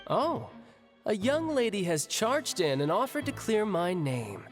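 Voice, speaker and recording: A man's voice: sits high, sing-song, unhurried, soft background music. An actor voicing a cocky, battle-hungry young male warrior in an anime game, breezy and teasing with theatrical politeness. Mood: amused, bored.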